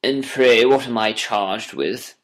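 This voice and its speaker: A man's voice: medium pitch, takes it slowly, lightly acted, rough recording. A man voicing an anguished, educated prisoner in an audiobook, proud and ashamed, impassioned and formal in manner. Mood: defiant. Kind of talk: audiobook character voice.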